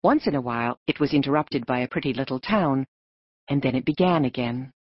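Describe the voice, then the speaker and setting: A woman narrating an audiobook story in an expressive storytelling manner. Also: rumbling low, fairly quick, husky, no echo, dull audio.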